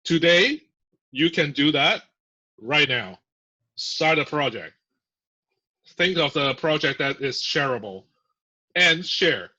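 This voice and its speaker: A man who is a software engineer, a guest in a casual podcast interview, explaining ideas in a chatty way with lots of fillers and laughs. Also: fairly high pitch, lively intonation, takes it slowly, pauses often. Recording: muffled lo-fi audio.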